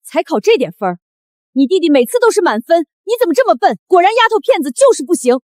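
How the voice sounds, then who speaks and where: A woman's voice: very high-pitched, very animated, fast, a little mellow, dry recording, studio quality. An actress playing a cold, stingy middle-aged mother in a melodramatic short drama. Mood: contemptuous.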